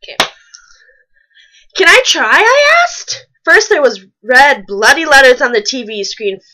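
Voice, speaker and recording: A woman's voice: high-pitched, very animated, measured pace, sharp tone, poor audio. A woman reading a story aloud and commenting on it with wry, nitpicking asides. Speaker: fan fiction reader and commentator.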